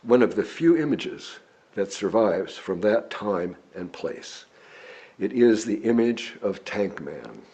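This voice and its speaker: A man reads a written sermon aloud in a measured, deliberate, oratorical way, with a husky voice. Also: on the low side, very animated, dark tone. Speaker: lay preacher reading a written sermon. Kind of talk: sermon read from a script. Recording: dull, lo-fi recording.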